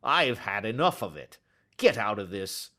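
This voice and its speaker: A man reading aloud and voicing an angry, quarrelsome market salesman who shouts fiercely. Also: on the low side, big pitch swings, a little slow, heavily acted.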